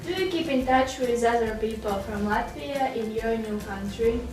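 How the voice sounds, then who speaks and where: A woman's voice: fairly high pitch, normal speed. A woman host who formally reads out her interview questions. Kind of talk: scripted studio interview questions. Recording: echoey room, distant mic, faint music.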